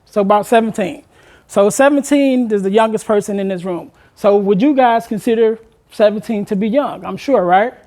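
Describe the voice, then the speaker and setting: A man, a motivational speaker addressing a room of high school students, asking them questions and urging them to take part. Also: normal speed, a little mellow. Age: 40s. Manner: engaging the audience with questions, conversational and encouraging.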